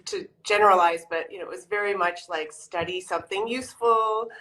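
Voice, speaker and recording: A woman's voice: mid-range voice, brisk, fairly bright tone, low-quality recording. A woman in her forties from Canada, talking in a casual interview over a video call, with lots of ums and restarts. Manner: chatty, many ums and restarts. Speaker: author guest.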